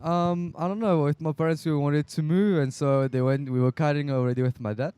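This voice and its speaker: A man's voice: on the high side, fairly even intonation, a little fast, warm tone, clean tone, dry recording. A French man with a French accent faintly tinged with South African, co-commentating casually on a live sports stream. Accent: French, with a slight South African tinge. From France. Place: indoor live stream booth by a beach.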